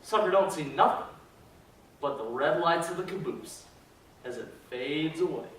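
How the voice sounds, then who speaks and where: A man's voice: on the high side, animated, moderate pace, a bit bright, a little hiss or hum. A man playing a brash circus ringmaster in a readers theater performance, declaiming theatrically. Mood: hard-bitten. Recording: very echoey hall, distant microphone.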